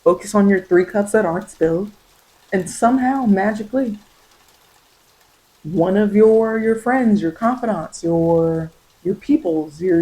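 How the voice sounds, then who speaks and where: A woman's voice: medium pitch, warm tone, rough recording. A woman improvising spiritual tarot guidance straight to the listener, encouraging and repeating phrases for emphasis. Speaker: tarot reader and ASMR host.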